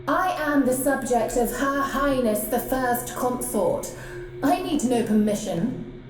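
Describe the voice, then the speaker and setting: An actress playing a haughty court villainess in a game, formal and full of contempt. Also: female voice, sits high, animated, takes it slowly. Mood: contemptuous. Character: haughty, scornful female villain, loyal court subject. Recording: echoing chamber, faint music.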